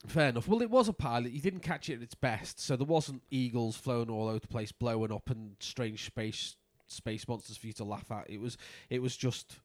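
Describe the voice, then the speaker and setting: A man with a noticeably nasal voice, co-hosting a podcast in a relaxed, chatty way. His pitch sits in the middle, and he laughs easily. The recording is dry and close, with no room sound. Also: normal speed, few pauses, dry recording. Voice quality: nasal, from polyps. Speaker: podcast co-host. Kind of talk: casual chat.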